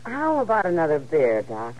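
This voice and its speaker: American saloon woman, lively pitch, relaxed familiar manner, faintly noisy old radio Western. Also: normal speed, narrow, tinny audio. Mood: teasing. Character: friendly, worldly frontier saloon woman. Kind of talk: 1950s radio Western acting.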